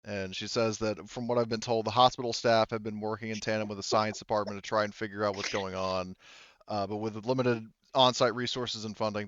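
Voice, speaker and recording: Guy game master narrating, halting delivery, clean smooth voice, dry slightly dull audio. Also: lowish voice, a bit bright, no echo. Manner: halting, reported speech of characters. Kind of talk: narrating a tabletop roleplaying game.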